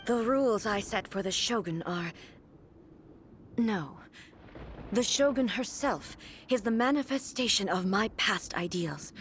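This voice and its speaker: A woman playing a solemn, regal warrior deity in a video game, speaking in a grave, formal, measured manner. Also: medium pitch, fairly bright tone, slight background noise. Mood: grave, explaining. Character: solemn, regal female warrior deity and her stern puppet double. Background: game music.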